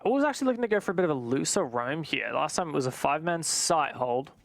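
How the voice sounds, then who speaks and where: A man's voice: a little high, lively intonation, fast talker, gravelly, dry recording. A man giving live play-by-play commentary on an esports match, with vivid, dramatic phrasing.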